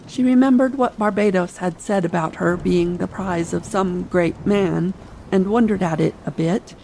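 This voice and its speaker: A woman's voice: fairly low pitch, moderate pace, gravelly, mellow, low-quality recording. A woman narrating a dramatic audiobook reading meant for late-night listening, over sea ambience. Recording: faint ambient sea noise behind.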